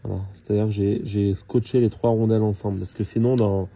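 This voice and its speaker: A man talking casually and haltingly through hands-on work, thinking aloud as he goes. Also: fairly low pitch, flat delivery, gravelly, warm tone, husky, muffled recording. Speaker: car mechanic hobbyist filming his own repair. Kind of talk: casual hands-on tutorial narration.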